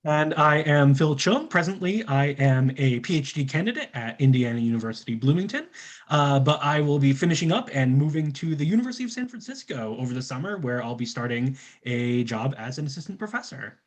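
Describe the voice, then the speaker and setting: A man's voice: a little high, lively intonation, fairly quick. A man co-presenting a semi-scripted academic talk in a polite, careful, formal way. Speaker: PhD candidate, co-presenter.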